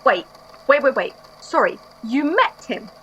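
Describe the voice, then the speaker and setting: Woman as excitable archivist interviewer in audio drama: sharp, fairly high voice, impatient temper, faintly noisy recording. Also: measured pace, dull audio, heavily acted. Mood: flustered, indignant. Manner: formal when recording, spluttering when challenged. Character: easily flustered, impatient statement-taking archivist interviewer.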